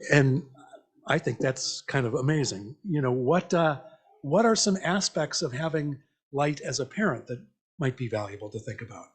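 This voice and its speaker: A man's voice: medium pitch, expressive, moderate pace, slightly rough. A man preaching an interactive church message in a conversational, reflective way, putting questions to the congregation. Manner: conversational, reflective, repeats listeners' answers back. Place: church meeting, speaking to a congregation.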